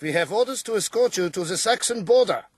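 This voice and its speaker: Very high-pitched officious military officer. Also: male voice, lively intonation, a little fast. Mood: barking orders. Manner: barking, formal. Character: officious Prussian military officer giving orders. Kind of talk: radio drama acting.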